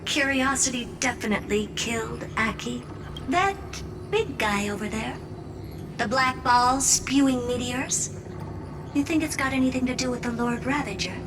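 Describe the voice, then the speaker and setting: A woman playing a haughty, blunt, self-assured genius in a video game, offhand in manner, with loud music behind her. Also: on the high side, moderate pace, distant mic, noisy background, natural voice. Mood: musing, dry.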